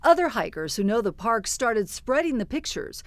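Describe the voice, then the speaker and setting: Dry, higher-pitched female news reporter. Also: very animated, moderate pace, dry recording. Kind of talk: scripted news narration.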